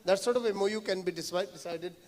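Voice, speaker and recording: A man with an Indian accent moderates a panel, firing off long questions at a quick clip. His voice sits high for a man, and he switches between languages as he goes. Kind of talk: moderating a panel in mixed Hindi and English.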